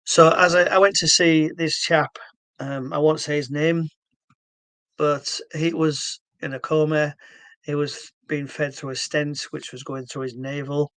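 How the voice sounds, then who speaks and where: A man's voice: on the high side, little pitch movement, measured pace, fairly bright tone, dry recording. Earnest Yorkshire man, dry close sound. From England. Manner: earnest, meandering, with frequent fillers and restarts. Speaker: stroke therapy founder. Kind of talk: casual talk to camera.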